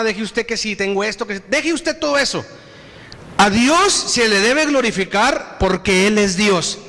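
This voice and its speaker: A man with a Mexican Spanish accent preaching a sermon. He addresses the congregation again and again and reads scripture slowly and with emphasis. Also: extremely high pitch, pushed and bright. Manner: preaching with repeated appeals to the congregation, slow emphatic scripture reading. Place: church hall. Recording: reverberant hall.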